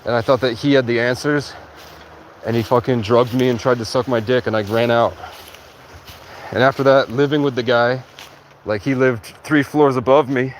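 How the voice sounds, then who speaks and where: A man's voice: medium pitch, steady tone, slight background noise. Sleep-deprived man rambling on a livestream. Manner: halting, restarts often, heavy use of "like". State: sleep-deprived after hours of talking. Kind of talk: rambling casual monologue. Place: outdoors in a rural area.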